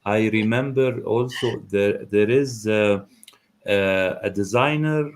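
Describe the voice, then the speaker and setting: A man from Egypt, hosting a live interview over a video call, hesitant and pausing often. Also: lowish voice. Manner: hesitant, many uh fillers. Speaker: interviewer, host.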